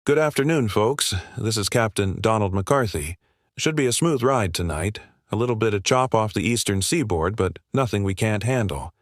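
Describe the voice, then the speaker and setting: A man voicing a smooth, practiced airline captain giving a reassuring cabin announcement in a story. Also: lowish voice, expressive, normal speed, no echo, studio quality. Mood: calm, reassuring.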